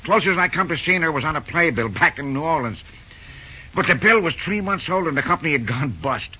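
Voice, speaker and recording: A man acting in an old-time radio drama as a humble, wistful drifting railroad fireman, with an American accent, folksy rustic grammar and a halting delivery. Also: on the high side, lively intonation, husky. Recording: dull, noisy old radio recording.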